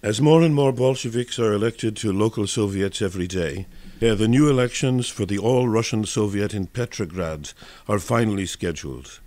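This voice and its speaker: A man's voice: on the low side, moderate pace, darkish tone, no room sound. A man giving scripted historical narration for a radio broadcast as a reader.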